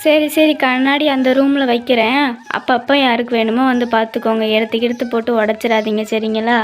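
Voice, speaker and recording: A woman playing an exasperated mother of two girls in an animated moral story, speaking in an emphatic, motherly way. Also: a little high, a little fast, over music, close to an everyday voice. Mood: matter-of-fact. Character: exasperated, scolding mother of two young daughters.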